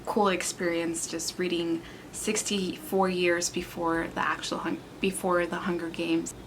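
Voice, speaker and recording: A woman's voice: lowish voice, a bit bright, a little hiss or hum, low-quality recording. Chatty young woman vlogging about a book. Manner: chatty, candid, reacting aloud while reading. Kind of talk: casual reading vlog talk.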